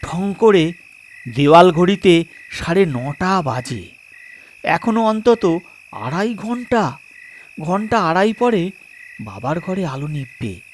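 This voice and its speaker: A man narrating a scripted comic story in a dramatic, theatrical way with suspenseful pauses. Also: high-pitched, big pitch swings, a little hiss or hum. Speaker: storyteller and channel host.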